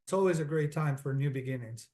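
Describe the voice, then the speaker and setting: A man's voice: on the high side, quick pace. Middle-aged man with a Colombian accent. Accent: Colombian Spanish. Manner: steady, businesslike, lays points out in order. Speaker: guest. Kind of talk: interview answers, explaining step by step.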